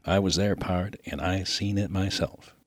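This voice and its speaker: A man playing a deep-voiced, folksy Old West miner. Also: steady tone, unhurried, dry recording. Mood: boastful, eager. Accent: rustic American. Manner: slangy, folksy, emphatic. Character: rough, good-natured Old West miner full of slang. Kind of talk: dramatic reading of a humorous story.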